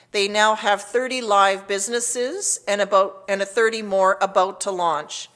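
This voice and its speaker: A woman reads a scripted public address as mayor, in a formal, measured way. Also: mid-range voice, fairly bright tone.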